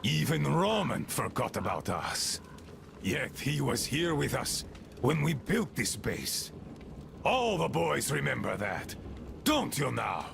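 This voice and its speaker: An actor playing an unhinged, bitter, abandoned gang leader in a video game, gravelly and rambling, swinging from scornful muttering to shouts. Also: male voice, mid-range voice, big pitch swings, takes it slowly, noisy background, heavily acted. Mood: bitter, wistful. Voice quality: gravelly, creaky. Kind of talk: video game character acting.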